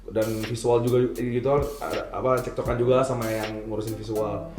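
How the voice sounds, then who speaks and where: A man's voice: medium pitch, flat delivery, fairly quick. A young man with a Jakarta accent chats casually as an interviewed band member, mixing English slang into colloquial speech. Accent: Jakarta Indonesian. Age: young adult. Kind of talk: casual chat. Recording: roomy echo, music behind.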